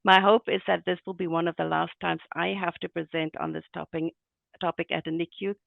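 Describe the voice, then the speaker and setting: A man with a South African accent speaks earnestly over a tinny, phone-like video call, his voice slightly high with a gravelly edge. The sound is dry and close, with no room around it. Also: level tone, a little fast, dry recording. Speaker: neonatal nurse and guest presenter. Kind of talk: remote interview.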